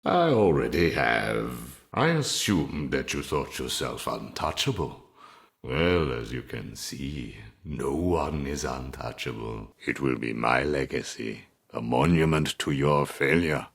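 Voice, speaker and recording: An actor playing a cold, calculating, arrogant mastermind villain in a video game, with a gravelly voice and a deliberate, smug, threatening delivery. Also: male voice, rumbling low, expressive, a bit bright, heavily acted. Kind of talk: scripted video game dialogue.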